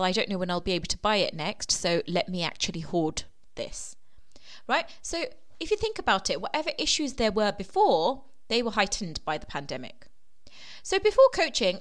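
A woman hosting a solo coaching podcast, a little croaky from a sore throat, explaining at length and trailing off softly at the ends of phrases. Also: medium pitch. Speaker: weight loss coach and podcast host.

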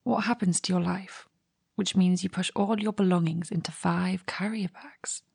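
An actress playing a restless, curious young woman in an audio drama monologue, confiding and wry, with a hushed, husky voice. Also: on the low side, steady tone, normal speed, no room sound. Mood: uneasy, reflective.

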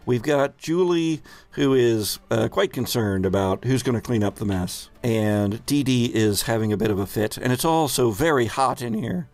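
A man's voice: medium pitch, animated, moderate pace, few pauses, darkish tone. A man hosting as game master, narrating a tabletop game casually with a playful, hesitant delivery.

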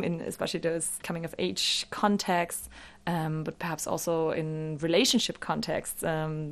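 A woman with a slightly low voice, speaking as a native German speaker. She answers as an expert guest in an interview. Her manner is thoughtful and academic as she explains ideas.

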